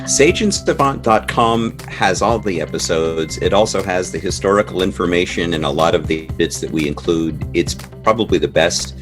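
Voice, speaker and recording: A man's voice: low-pitched, moderate pace, hardly any pauses, over music. A middle-aged or older man chatting earnestly and enthusiastically as an interview guest. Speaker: interview guest, audio drama composer. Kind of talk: casual interview chat.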